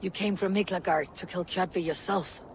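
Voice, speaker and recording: A woman playing a proud, vengeful young Viking warrior in a game drama, speaking in solemn, measured, archaic phrases. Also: fairly low pitch, fairly even intonation, slight background noise, natural voice. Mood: guarded. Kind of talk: cinematic video game drama.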